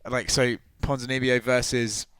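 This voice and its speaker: A man's voice: medium pitch, little pitch movement, normal speed, fairly bright tone, clear, resonant tone. A tipsy man chatting loosely as a podcast guest, laughing often and swearing freely. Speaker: podcast guest, media personality. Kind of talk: casual podcast chat.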